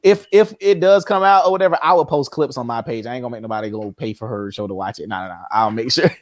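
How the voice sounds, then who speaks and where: A man in his early twenties speaking casually as a guest on a video call, relaxed, informal and quick to laugh. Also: medium pitch, no room sound, clean studio sound. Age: early 20s. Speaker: guest, youth organization founder. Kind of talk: casual conversation.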